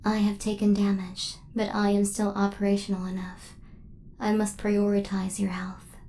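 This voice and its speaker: A woman playing a devoted, protective female hunter robot in a soft-spoken ASMR roleplay, with formal, precise, machine-like phrasing. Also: mid-range voice, completely flat delivery, normal speed, slight background noise, natural voice.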